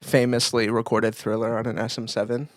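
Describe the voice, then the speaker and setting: A man's voice: medium pitch, little pitch movement, brisk, no room sound. A man co-hosting a podcast, chatting casually and playfully without a script, close on the microphone. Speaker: podcast co-host. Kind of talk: casual unscripted podcast banter.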